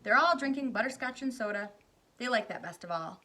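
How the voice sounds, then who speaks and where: A woman voicing an eccentric, excited man who owns a candy factory, calling out in a high, loud voice. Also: fairly quick. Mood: gleeful.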